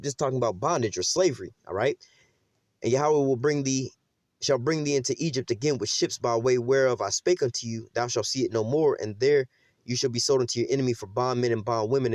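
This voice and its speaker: A man preaches from scripture in quick, slangy bursts, dropping "man" at the end of nearly every thought. He slows down to read the verses aloud before explaining them. The sound is close and dry, with no room echo. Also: mid-range voice, darkish tone. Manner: casual slang, frequent "man" and "you know what I'm saying"; reads verses slowly, then explains them. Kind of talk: street-style scripture teaching.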